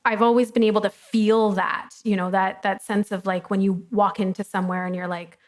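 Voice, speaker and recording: A woman's voice: mid-range voice, level tone, fairly quick. Candid chatty woman guest. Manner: chatty and candid, with frequent ums. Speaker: guest, company co-founder. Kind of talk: casual conversational interview. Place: coworking studio, fireside chat before an audience.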